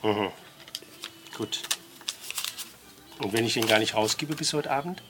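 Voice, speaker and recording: A man's voice: lowish voice, measured pace, background noise. A middle-aged man who owns a small shop, chatting casually. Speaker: stationery shop owner. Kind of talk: casual conversation.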